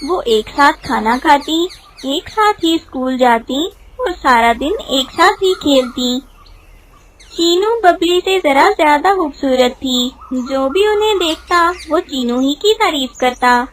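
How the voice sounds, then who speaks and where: High-pitched woman narrating cartoon stories. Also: animated, takes it slowly, a little hiss or hum, poor audio. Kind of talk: children's cartoon story narration.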